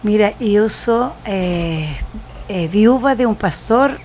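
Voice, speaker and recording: A woman in her seventies with a Chilean Spanish accent, a missionary giving a halting, preaching testimony and searching for words. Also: mid-range voice, expressive, a little mellow, slight background noise. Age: 70s. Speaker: missionary guest.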